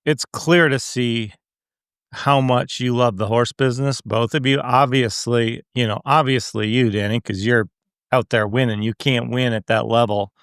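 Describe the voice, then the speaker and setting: A man hosts a relaxed interview and talks in an easy, affirming way. His voice is clear and smooth, and the recording is dry with little room sound. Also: medium pitch, normal speed, no room sound. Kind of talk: casual interview chat.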